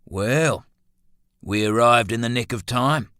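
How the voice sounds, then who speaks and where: A man voicing a cheeky, brisk male political radical with a wry, casual manner, in an audiobook novel. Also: mid-range voice, sharp tone, clear, resonant tone, no echo, studio quality, pitched above the performer's own voice.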